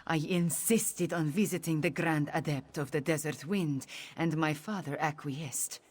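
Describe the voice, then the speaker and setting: A woman playing a proud, solemn exiled princess in a fantasy game, speaking gravely and formally in a husky voice. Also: a little slow. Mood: somber. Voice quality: low, husky. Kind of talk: video game character acting.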